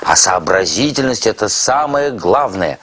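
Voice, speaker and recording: A man's voice: fairly low pitch, expressive, moderate pace, fairly bright tone. A man playing a kindly, playful friend who teaches word games in a children's show, encouraging and teacherly. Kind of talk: children's educational show presenting. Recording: music under the voice.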